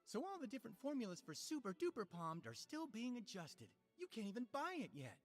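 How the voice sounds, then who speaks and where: A woman's voice: a little high, big pitch swings, brisk, soft background music. A woman playing a proud, earnest, easily indignant character in a video game, explaining things emphatically.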